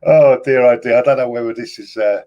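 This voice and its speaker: A man hosting a casual football chat show who reads out news items and puts questions to his guest, speaking haltingly with frequent fillers. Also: mid-range voice, steady tone, muffled recording. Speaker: host.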